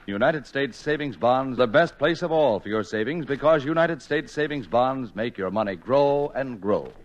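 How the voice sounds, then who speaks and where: A man's voice: medium pitch, lively intonation, normal speed, crowd noise, noisy background. A man reading a scripted advertisement as an old-time radio announcer. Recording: dull vintage radio recording.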